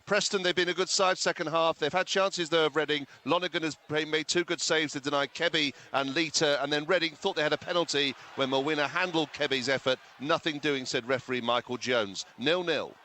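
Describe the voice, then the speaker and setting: Bright, forceful male football reporter. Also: a little high, a little fast, few pauses, a little hiss or hum. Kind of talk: live match report.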